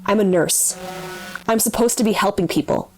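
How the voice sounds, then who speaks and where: A woman playing a dutiful, weary nurse in an audio drama, giving measured survival advice with dry sardonic asides. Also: medium pitch, slight background noise, close to an everyday voice. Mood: bitter, resolute. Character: weary, dutiful, wry nurse staying behind after apocalypse. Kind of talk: audio drama radio broadcast monologue.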